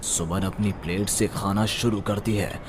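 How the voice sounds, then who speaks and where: A man's voice: on the low side, fairly even intonation, measured pace, noisy background. A man narrating a scripted horror story. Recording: music behind the voice.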